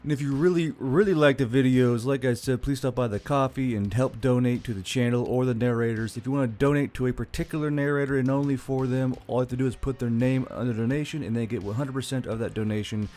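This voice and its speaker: A man's voice: medium pitch, steady tone, fast, hardly any pauses, warm tone. A man hosting a channel, talking casually and directly to his viewers. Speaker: channel host. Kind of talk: casual outro talk to viewers. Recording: music bed under the voice.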